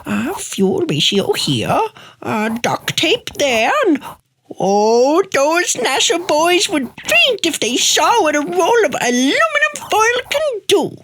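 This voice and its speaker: A woman's voice: extremely high pitch, very animated, takes it slowly, a bit bright, a little hiss or hum, exaggerated voice. A woman voicing a reckless, cheerfully stubborn old grandma inventor in a radio play, breezy and theatrical. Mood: gleeful, determined. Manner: breezy, theatrical, dismissive of worry.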